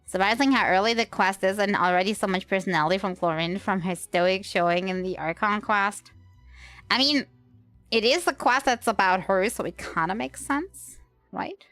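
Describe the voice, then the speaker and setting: A woman's voice: mid-range voice, normal speed, a bit bright, no room sound, soft background music. Dry-sounding woman streamer, casual commentary. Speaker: game livestreamer. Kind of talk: casual running commentary on a video game.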